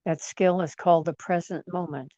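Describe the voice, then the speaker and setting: A woman leading guided imagery in a soothing, gentle manner, leaving long pauses between phrases. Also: on the low side, steady tone, no echo. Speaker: guided meditation leader.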